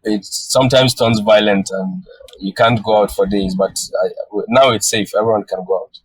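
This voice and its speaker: A young man from northern Nigeria with a Nigerian accent, native Hausa speaker, answering interview questions in a measured way over a call, with frequent fillers and restarts. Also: fairly low pitch, steady tone, breathy edge, no echo, poor audio. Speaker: guest photographer.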